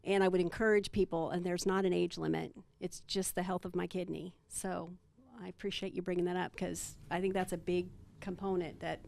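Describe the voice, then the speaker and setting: A woman's voice: on the low side, little pitch movement, a little fast, gravelly, a little mellow. A woman in her fifties, a kidney donor, speaking upbeat and chatty at a press conference. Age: 50s.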